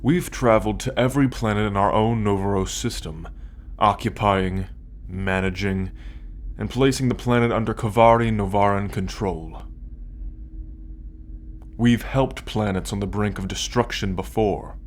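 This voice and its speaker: A man playing an arrogant, possessive alien soldier in an ASMR roleplay, condescending and menacing, speaking with deliberate pauses. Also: on the low side, a little hiss or hum, lightly acted. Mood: haughty, lecturing. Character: arrogant possessive male alien soldier, cold, darkly amused captor.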